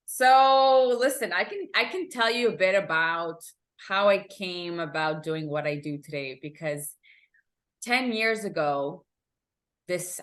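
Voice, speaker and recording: A woman's voice: on the low side, fairly bright tone. A woman from Montreal whose first language is French, talking candidly as a guest coach in an interview, with fillers and restarts. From Montreal, Quebec, Canada. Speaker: guest, emotional intelligence coach. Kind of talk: conversational interview.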